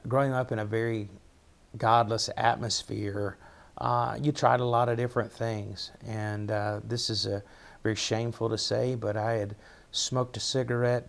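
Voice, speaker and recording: A middle-aged man with an Appalachian accent, telling personal stories as a guest in an interview, halting and reflective, often repeating words. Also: lowish voice, vocal fry. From southwest Virginia, United States. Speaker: pastor, podcast guest.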